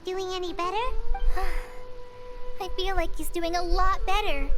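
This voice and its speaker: A woman's voice: extremely high pitch, takes it slowly. A woman voicing an eager but unsure young girl apprentice healer in a video game, earnest and deferential. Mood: caring, curious. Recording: game audio under loud music.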